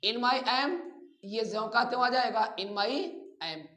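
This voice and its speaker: Male Indian grammar teacher, unhurried and deliberate, instructing students step by step, roomy echo on the recording. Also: high-pitched, pushed and bright. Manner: deliberate, repeats phrases, addresses students directly. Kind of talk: instructional lesson, explaining step by step. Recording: echoey room.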